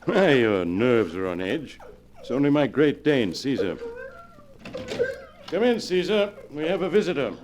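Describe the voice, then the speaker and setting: An actor playing a courteous scientist in a 1940s radio drama, speaking formally in measured, well-chosen words. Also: male voice, mid-range voice, very animated, noisy background. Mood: commanding. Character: calm, polite scientist professor with a secret. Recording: vintage 1940s radio recording.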